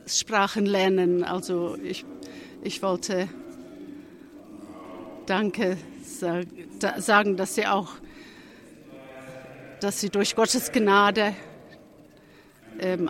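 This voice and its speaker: A speaker with a cold gives a testimony at a church service, slowly and with care. The delivery halts often, with phrases begun again, and then builds to fervent emphasis on key points. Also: mid-range voice, little pitch movement. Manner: halting, with frequent restarts and pauses. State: has a cold.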